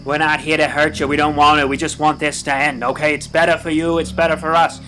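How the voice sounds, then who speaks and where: A man's voice: on the high side, level tone, fast, pushed and bright, a little hiss or hum, rough recording. A man playing a stern police chief in an audio drama, commanding yet earnest and pleading. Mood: pleading, firm.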